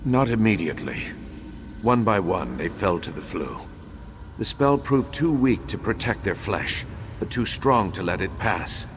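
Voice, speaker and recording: An actor playing a grave, remorseful undead imperial battle mage in a video game, speaking in a formal, measured, solemn way. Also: male voice, lowish voice, animated. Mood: regretful. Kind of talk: dramatic video game dialogue. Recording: long hollow reverb, faint background noise.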